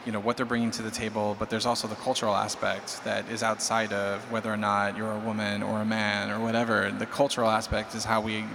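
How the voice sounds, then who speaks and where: A man's voice: lowish voice, completely flat delivery, fast talker, hardly any pauses. A man on a conference panel, talking casually and a bit rambling, with some laughter, into a handheld mic. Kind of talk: panel discussion. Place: large conference hall stage. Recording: hall reverb, clear crowd-room noise.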